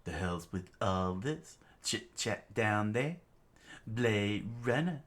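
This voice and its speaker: A man's voice: fairly low pitch, expressive, takes it slowly, a bit bright. A man voicing a gleeful, cocky male villain who taunts in a drawl and screams his attack names. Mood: taunting drawl.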